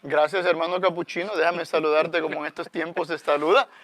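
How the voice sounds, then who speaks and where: A man's voice: a little high, steady tone, a little fast, a bit bright, no echo. A middle-aged man with a Salvadoran accent, a guest friar speaking in an earnest, explanatory way with many fillers. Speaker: guest friar, parish priest. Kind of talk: conversational religious talk.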